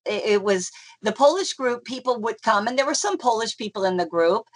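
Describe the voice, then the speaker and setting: A middle-aged woman chats as an interview guest, with an ordinary mid-range voice. She rambles, restarts her sentences and leans on fillers as she talks. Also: medium pitch. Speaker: interview guest, folk dancer. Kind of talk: casual conversational interview.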